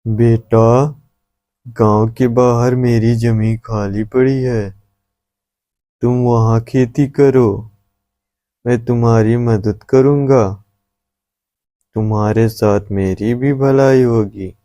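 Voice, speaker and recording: A man voices a kindly elder landlord from a village cartoon story. The voice is deeply mellow and even, with a slow, comforting, fatherly delivery in a dry recording. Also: dry recording, natural voice. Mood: generous. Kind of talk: scripted moral-story cartoon dialogue.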